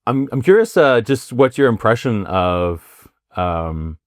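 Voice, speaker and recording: A man hosting a podcast interview, asking questions in a halting way with many fillers and restarts. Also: mid-range voice, very animated, dry recording, clean studio sound. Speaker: podcast host. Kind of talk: casual interview with read ad intro.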